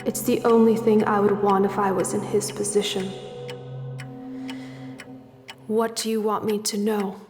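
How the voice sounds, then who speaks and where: A guarded, intense female interrogator played by an actress in a radio drama. Her medium-pitched voice rings with long reverb over clearly audible music. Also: flat delivery, moderate pace, natural voice. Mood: reluctant. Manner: terse, sardonic, intense. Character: tough, guarded female interrogator judging a convict's appeal. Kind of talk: audio drama acting.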